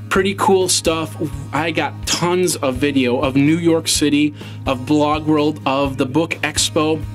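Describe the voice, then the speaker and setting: Higher-pitched man hosting a web show. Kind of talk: casual scripted-feel presenting to camera. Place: small home office studio.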